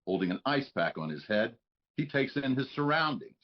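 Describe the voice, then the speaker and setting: A man with a husky voice reading stage directions as scripted narration at a live table read. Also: medium pitch, sing-song, moderate pace, dull audio.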